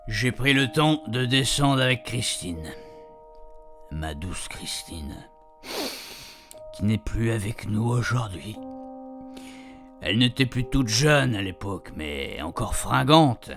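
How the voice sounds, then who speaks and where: A man's voice: medium pitch, slow, pauses often, faint music behind. Man acting a folksy, grumbling older French camper. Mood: tender, wistful. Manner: colloquial, expressive, savouring words, grumbling asides. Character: chatty, grumbling, nostalgic married man, an amateur camper. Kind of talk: first-person storytelling in a radio fiction podcast.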